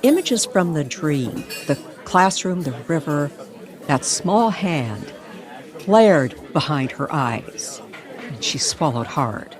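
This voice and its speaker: A woman's voice: low voice, very animated, takes it slowly. A woman reading dramatic scripted narration for a story. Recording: crowd chatter behind the voice.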